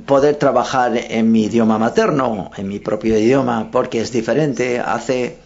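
A man's voice: medium pitch, creaky, a little mellow. A man interpreting live at a press conference, hesitant, often breaking off to correct himself. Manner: hesitant, with frequent self-corrections. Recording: dull lo-fi sound.

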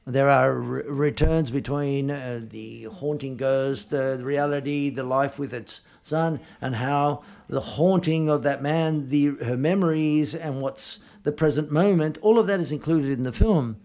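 A male interpreter with a creaky, relaxed voice at a middling pitch. He renders press conference remarks live, in piecemeal phrases with frequent self-corrections. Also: unhurried, hardly any pauses.

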